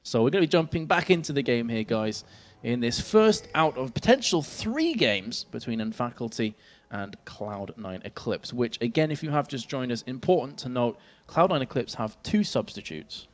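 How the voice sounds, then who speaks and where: A man's voice: medium pitch, big pitch swings, moderate pace, hardly any pauses. A young man with an English accent, casting an esports match and joking about himself with his co-caster. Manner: self-mocking, jokey, laughs often. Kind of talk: casual caster banter. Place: event stage in a venue.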